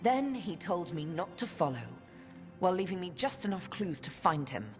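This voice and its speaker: Wistful elf woman, low voice. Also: big pitch swings, faint music behind. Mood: heartbroken, wistful. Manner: measured, reflective, wistful. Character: solemn, wistful female elf inquisitor, once betrayed in love. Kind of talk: dramatic fantasy game voice acting.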